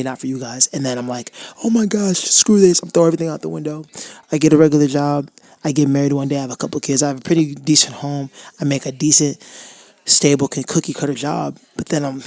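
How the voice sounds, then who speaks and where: A man's voice: on the high side, vocal fry, darkish tone, no room sound. A man in his twenties hosting a podcast, giving a rambling, casual motivational monologue. He often cuts himself off and acts out his own inner voice. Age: 20s. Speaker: podcast host.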